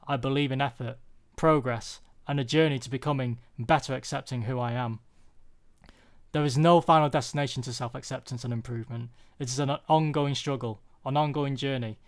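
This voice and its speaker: A young British man giving a prepared personal talk, earnest and confessional, with deliberate phrasing. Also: medium pitch. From UK, born there.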